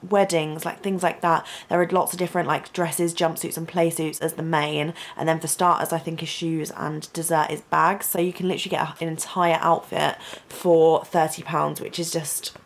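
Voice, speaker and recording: A young woman, a student vlogger, chatting casually in her bedroom with lots of "like" and "um" and a low voice. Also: level tone, normal speed, few pauses. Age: young adult. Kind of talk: casual vlog chat.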